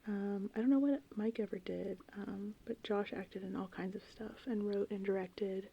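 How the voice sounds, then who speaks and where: A woman rambling casually and informally in an ASMR style, with a soft, breathy voice that often slips into a whisper. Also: mid-range voice, normal speed, highs cut off, slight background noise. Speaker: ASMR host. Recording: steady rain and thunder ambience. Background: gentle rain and thunderstorm sounds.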